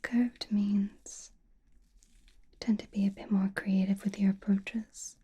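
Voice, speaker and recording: A woman playing a mysterious, attentive sorceress fortune-teller in a whispered ASMR roleplay, speaking softly and deliberately close to the microphone. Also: medium pitch, little pitch movement. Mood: calm, mystical. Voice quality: breathy, mostly unvoiced whisper. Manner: slow, deliberate, soothing with soft pauses. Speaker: ASMR performer.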